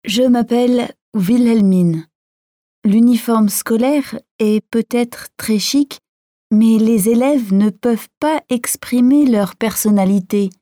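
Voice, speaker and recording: A woman giving a careful, clearly articulated scripted reading for a language course. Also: a little high, takes it slowly, warm tone, dry recording, clean studio sound. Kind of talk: slow scripted reading for learners.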